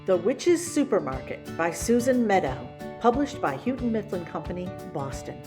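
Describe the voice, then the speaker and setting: A woman reading a children's picture book as a story-time host, with theatrical, deliberate emphasis. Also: fairly low pitch, sing-song, over music. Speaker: library story-time reader.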